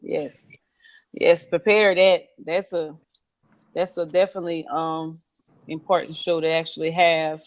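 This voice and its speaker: A woman's voice: sits low, flat delivery, a little slow. A woman co-hosting a casual talk show, talking loosely with many fillers and restarts. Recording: muffled lo-fi line.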